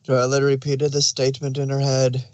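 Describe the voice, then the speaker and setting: A man's voice: medium pitch, monotone, moderate pace, a little mellow, dry recording. A man reading scripted story narration as the narrator.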